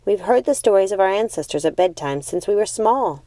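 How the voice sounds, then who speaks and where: Woman as calm, resolute eldest witch sister. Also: medium pitch, no room sound, close to an everyday voice. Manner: calm, firm, deliberate.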